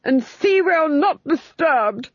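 A woman's voice: high voice, slow pace, a little mellow, no room sound, muffled recording, pitched above the performer's own voice. A woman voicing a nervous, tyrannical male Duke, agitated and strained, in an audiobook. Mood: hissed menace. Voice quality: strained, husky. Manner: agitated, hissing and screaming. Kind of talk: audiobook character voice.

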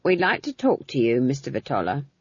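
Reader doing a stern old witch, curt, husky, dry room. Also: medium pitch, very animated, a little fast, no echo, muffled recording.

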